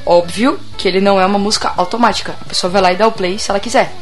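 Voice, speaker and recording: Hoarse woman co-hosting a chat. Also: medium pitch, very fast, faint music behind. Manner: laughs often, relaxed banter. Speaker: podcast co-host. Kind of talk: casual chat and sponsor read.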